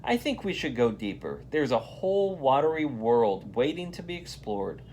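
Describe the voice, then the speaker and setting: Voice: medium pitch, very animated, moderate pace, a little mellow, slight background noise, close to an everyday voice. A storybook reader voicing an eager, adventurous girl student. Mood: eager, persuasive.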